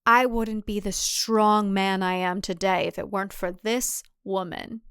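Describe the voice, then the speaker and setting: A woman voicing a smooth, controlling man, a cult husband in a thriller audiobook. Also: medium pitch, fairly even intonation, unhurried, fairly bright tone, no echo, clean studio sound. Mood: proud, measured.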